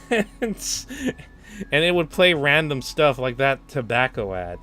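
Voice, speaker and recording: A man hosts a casual stream in a mid-pitched voice with lively swings in intonation. He takes his time, stammers and restarts often, and has a giggly, hesitant way about him. A music playlist plays faintly behind him. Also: takes it slowly. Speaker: livestream host. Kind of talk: casual livestream chat.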